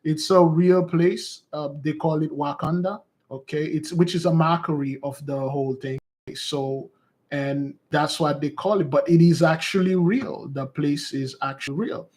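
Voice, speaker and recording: A man speaks in a somewhat high voice, teaching his listeners in a loose, unscripted way. He circles back and repeats himself as he goes. He calls his audience "beloved brothers and sisters" like a preacher. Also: steady tone, measured pace, darkish tone. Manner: rambling and repetitive, addresses listeners as beloved. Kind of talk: informal teaching monologue.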